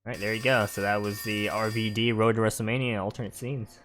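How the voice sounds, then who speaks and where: A man's voice: lowish voice, flat delivery, fast talker, fairly bright tone, a little hiss or hum. A man giving casual gameplay commentary as a gaming video creator.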